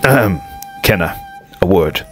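An aging, loyal knight played by a man, lowish in pitch with lively swings. He counsels in a courtly manner, a fantasy game advisor over music. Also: a little slow. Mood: cautioning. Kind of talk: fan dub voice acting of a fantasy story game. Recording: music behind the voice.

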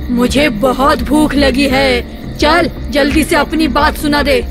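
A woman voicing a hungry, menacing, gloating forest witch in an animated horror story. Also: sits high. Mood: hungry, bargaining. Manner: slow, drawn-out, cackling delivery. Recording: loud music behind. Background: music.

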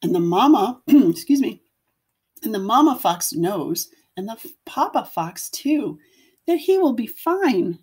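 A woman's voice: a little high, sing-song, a little slow, a little mellow, breathy edge. Woman teacher reading aloud to children. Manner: instructive, asks the listeners questions, addresses children simply. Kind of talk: picture-book read-aloud with commentary to children.

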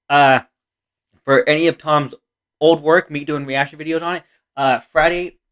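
An American man in his thirties giving casual reaction commentary in a halting, repetitive, earnest way. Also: a little high, fairly bright tone, clear, resonant tone. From Oregon, United States. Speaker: reaction video host. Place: small room indoors.

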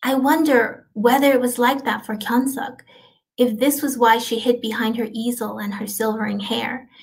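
A middle-aged woman performing a dramatic monologue in a soft, reflective way with deliberate pauses. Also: fairly high pitch, creaky, low-quality recording. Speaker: actor performing a literary piece. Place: indoors, small plain room.

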